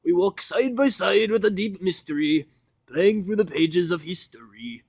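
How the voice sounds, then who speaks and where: A man voicing an excitable young female pony with gushing enthusiasm in an amateur fan fiction reading. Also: high-pitched, a little slow, gravelly, no echo, pitched above the performer's own voice, heavily acted. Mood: dreamy singing. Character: excitable young filly pony, girlish and singing.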